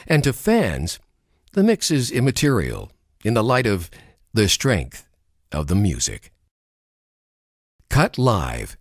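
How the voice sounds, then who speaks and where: A man narrating a scripted music documentary, reading in a measured, expressive way in a recording studio. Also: mid-range voice, dry recording. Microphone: studio microphone.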